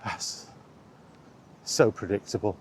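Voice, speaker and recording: Slow, low-voiced man in a rambling vlog. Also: darkish tone, a little hiss or hum. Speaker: vlogger. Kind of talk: casual walking vlog commentary on video games. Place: outdoors, walking.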